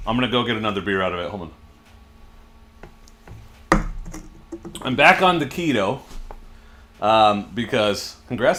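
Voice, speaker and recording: A man in his forties with a lowish voice hosts a relaxed livestream from his garage. He chats easily and reads out viewer comments as he answers them, close to a desk microphone. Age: 40s. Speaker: livestream host. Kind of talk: casual livestream chat. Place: home garage.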